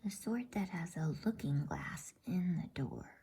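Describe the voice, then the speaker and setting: A woman reading a bedtime story in a soft, breathy ASMR whisper, gentle and unhurried. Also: lowish voice, wildly swooping pitch.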